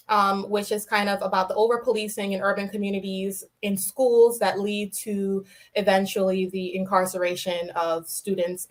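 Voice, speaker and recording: Young woman, clear explainer. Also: mid-range voice. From New York City. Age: young adult. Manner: explanatory, with light laughs. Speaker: guest. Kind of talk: podcast interview.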